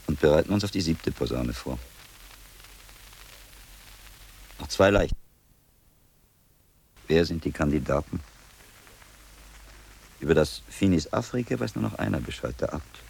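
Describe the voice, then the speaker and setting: An actor playing a shrewd, learned older monk and investigator in a German radio drama, speaking deliberately and probingly with a gravelly voice. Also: male voice, a bass voice, expressive, a little mellow, full character voice, poor audio. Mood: brooding, alert.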